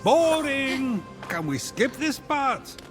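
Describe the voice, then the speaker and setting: A vain god-king with a high, wildly swooping voice. He is flippant, whiny and theatrical, an impatient comic ruler in a fantasy video game. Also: sing-song, takes it slowly, music in the background, heavily acted. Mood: bored, impatient. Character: Zeus, vain, impatient, petulant king of gods.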